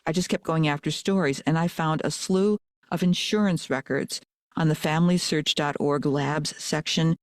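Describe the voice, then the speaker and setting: A man with a fairly high voice hosts a podcast interview over a video call. He talks eagerly and in quick bursts, and the sound is dry and close. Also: fairly even intonation, dry recording. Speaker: podcast host. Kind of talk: casual interview chat.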